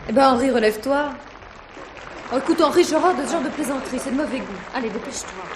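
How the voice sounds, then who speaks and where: An actress playing a scornful wife with biting, theatrical delivery in a radio mystery. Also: female voice, sits high, quick pace, crowd noise, background noise. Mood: annoyed. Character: scornful wife, a stage actress sparring with her husband. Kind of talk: 1950s-style French radio mystery acting.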